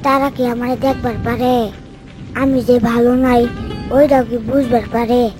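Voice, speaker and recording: Woman acting plaintive, high-pitched orphan boy. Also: little pitch movement, measured pace, over music, lightly acted. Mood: wistful, sad. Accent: Bangladeshi. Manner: plaintive, childlike. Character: sad, suffering orphaned little boy. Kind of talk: horror cartoon character acting.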